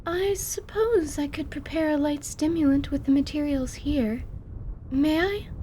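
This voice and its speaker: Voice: sits high, measured pace, warm tone, a little hiss or hum, pitched above the performer's own voice. A woman voicing an earnest, formal surgeon with a polite, precise way of talking in a fantasy drama. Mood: hesitant, polite.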